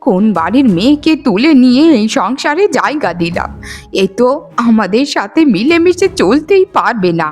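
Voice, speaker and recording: Cartoon role: frail, worried old mother-in-law, high, swooping, pleading delivery over faint music. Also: big pitch swings, moderate pace. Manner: pleading, fretful. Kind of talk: Bengali cartoon voice acting.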